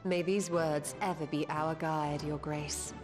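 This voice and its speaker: A woman's voice: deep voice, fairly even intonation, slow, big-room sound, music in the background. A woman playing a solemn, perceptive sage in a fantasy game, speaking in formal, archaic phrases. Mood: reverent. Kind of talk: formal video game cutscene acting.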